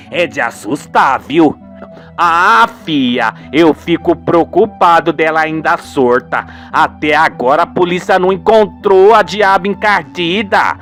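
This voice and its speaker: A man's voice: fairly high pitch, fairly bright tone. Man acting a fretful folksy country dad. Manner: folksy rural speech, exclamatory. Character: worried rustic father, fearful and protective of his daughter.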